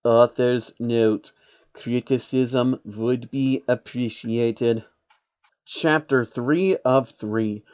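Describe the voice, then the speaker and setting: A man's voice: medium pitch, darkish tone. A man reading a story aloud as narrator with casual asides, on slightly dull audio. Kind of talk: fan fiction reading with asides.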